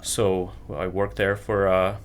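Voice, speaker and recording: A man in his thirties from Ukraine with a Ukrainian accent, speaking English as a second language and answering interview questions haltingly with many ums. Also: low-pitched, little pitch movement, slight background noise. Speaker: guest, computer business owner. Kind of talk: casual interview.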